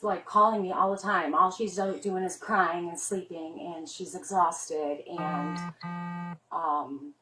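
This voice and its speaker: A woman's voice: fairly low pitch, pushed and bright. A middle-aged woman, a mother, talking to a police officer in a rambling, earnest and worried way, full of "like" fillers. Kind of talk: spoken statement to an officer. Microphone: police body camera worn by an officer. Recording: roomy, distant body camera audio.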